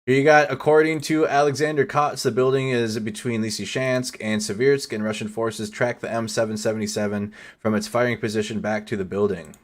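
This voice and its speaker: A man's voice: medium pitch, fairly even intonation, brisk, few pauses. A man giving casual live commentary over war footage as a news streamer, swearing and stumbling over foreign names.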